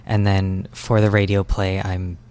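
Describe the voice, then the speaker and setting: A man's voice: low-pitched, little pitch movement, a little slow, darkish tone, clear, resonant tone. A young man, a student, answering interview questions in a casual, conversational way. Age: young adult. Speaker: student interviewee, stage manager and director.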